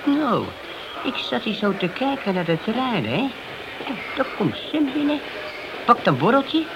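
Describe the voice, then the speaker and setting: An actor playing a small-time crook and informer in a Dutch radio play, wheedling, slangy and obsequious. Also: male voice, extremely high pitch, big pitch swings, a little slow, dull audio, heavily acted. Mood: sly. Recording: noisy old radio drama recording.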